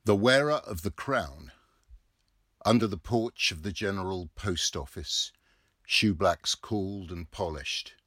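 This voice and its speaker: A man in his fifties narrating a literary novel with measured, deliberate phrasing. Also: deep voice, fairly bright tone, no room sound, clean studio sound. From England. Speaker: audiobook reader.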